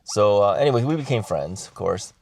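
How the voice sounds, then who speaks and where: A man's voice: on the low side, expressive, a little fast, vocal fry, darkish tone. A middle-aged man from the Chicago area chatting casually to camera, rambling with many fillers, restarts and chuckles. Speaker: vlogger.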